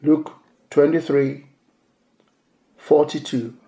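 A male pastor whose first language is Yoruba preaches a sermon in a measured way, repeating key phrases for emphasis. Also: a little high, steady tone, creaky, mellow. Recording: echoey room.

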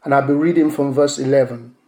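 A male pastor whose first language is Yoruba preaches a sermon in a measured way, repeating key phrases for emphasis. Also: mid-range voice, steady tone, dark tone. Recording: echoey room.

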